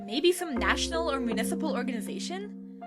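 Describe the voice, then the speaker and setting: A woman playing a bossy, confident, energetic teenage schoolgirl in an audio drama, brisk and self-assured. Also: high-pitched. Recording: loud music under the voice.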